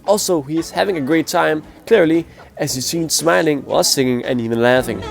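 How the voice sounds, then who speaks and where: A man's voice: a little high, a little fast, gravelly. A man with a sick, nasal voice giving a blunt, opinionated casual review. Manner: blunt, opinionated, grades with scores. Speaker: music performance reviewer. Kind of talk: casual spoken video review.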